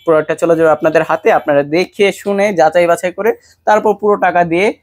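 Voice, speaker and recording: A man with a Bangladeshi accent presenting a product pitch, speaking directly to viewers like a shop salesman. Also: steady tone, quick pace, dry recording, studio quality. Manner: salesman-like, addresses viewers directly with rhetorical questions. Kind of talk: promotional product pitch to camera.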